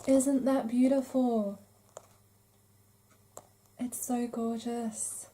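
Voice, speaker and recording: Mellow-voiced, enthusiastic woman craft podcaster. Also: on the high side, little pitch movement, drawn-out words, slight background noise. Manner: enthusiastic, gushing. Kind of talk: casual vlog chat, showing craft magazines.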